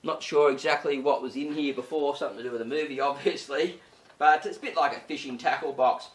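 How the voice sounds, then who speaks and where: A man hosting a casual gardening tutorial, chatty and full of fillers, his voice slightly croaky. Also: mid-range voice, brisk, echoey room, far from the mic. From Australia. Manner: chatty, many "uh" and "you know" fillers. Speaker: gardening channel host.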